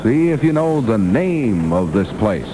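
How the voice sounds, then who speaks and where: A man's voice: lowish voice, sing-song, a little slow. An American man hosting a morning radio show, announcing and bantering conversationally straight to listeners. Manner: conversational, addresses listeners directly. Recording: noisy vintage 1960s radio aircheck.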